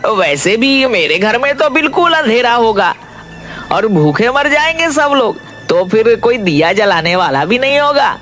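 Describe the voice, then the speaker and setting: A man's voice: extremely high pitch, very animated, a little slow, pushed and bright, faint music behind, exaggerated voice. A man voicing a poor, fearful village woodcutter in a cartoon, with a folksy, plaintive way of talking. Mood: pleading. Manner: folksy, repeats a pet oath, plaintive.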